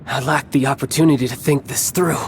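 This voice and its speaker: An actor playing a cocky, battle-hungry young villain in a video game cutscene, speaking in a measured, smug and theatrical way with formal phrasing. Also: male voice, mid-range voice, fairly even intonation, a little hiss or hum, lightly acted. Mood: composed, intrigued.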